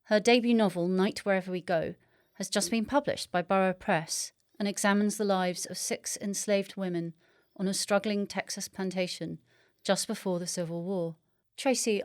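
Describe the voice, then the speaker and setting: A woman presents a guest in the manner of a scripted interview introduction. Her voice sits at a middle pitch. The sound is dry, without room echo. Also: darkish tone, clean tone.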